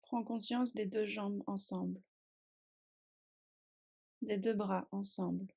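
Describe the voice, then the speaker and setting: Woman, meditation guide, very even intonation, slow pace, calm, soothing, short phrases, long pauses. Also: medium pitch, vocal fry, breathy edge. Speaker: yoga nidra meditation guide. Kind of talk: guided relaxation meditation, scripted.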